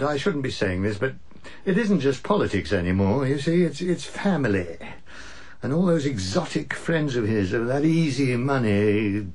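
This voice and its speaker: A man's voice: fairly low pitch, a little mellow, husky. An actor playing a blunt, weary older English husband and father in a radio drama, with a wry, old-fashioned turn of phrase. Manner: wry, swearing, old-fashioned upper-middle-class turns of phrase.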